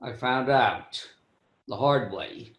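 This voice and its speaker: An older man with a high-pitched voice tells a wry, self-deprecating story about his own life in a club speech over a video call. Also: takes it slowly, a bit bright. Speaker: club speaker.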